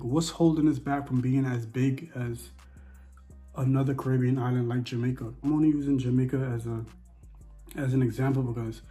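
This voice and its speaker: A man's voice: mid-range voice, dark tone. A man with an American accent giving casual opinions, emphatically repeating his phrases for stress, with faint music behind him. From Bahamas and the U.S.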